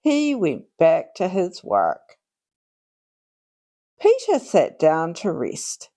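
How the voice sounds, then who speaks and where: A woman reading a children's storybook aloud in an expressive, deliberate way, drawing out her phrases for young listeners. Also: low-pitched. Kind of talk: read-aloud storybook narration.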